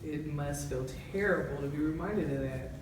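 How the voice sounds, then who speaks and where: A woman acting a calm, supportive therapist in an amateur drama, speaking in a gentle, measured way. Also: low-pitched, lightly acted. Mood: reassuring. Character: calm, supportive female therapist, recounting a client's case. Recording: very echoey room, distant mic, clear noise.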